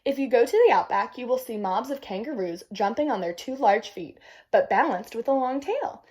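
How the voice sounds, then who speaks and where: High-voiced, animated woman reading to children. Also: normal speed, breathy edge. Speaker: read-aloud presenter. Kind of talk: reading a children's nature book aloud with chatty asides.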